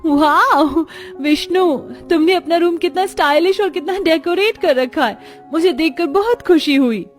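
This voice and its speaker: A young cartoon bride gushes over her grand new home, voiced by a woman. Her voice is high and excited. Soft music runs behind her. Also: a little slow. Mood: gushing. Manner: excited, gushing.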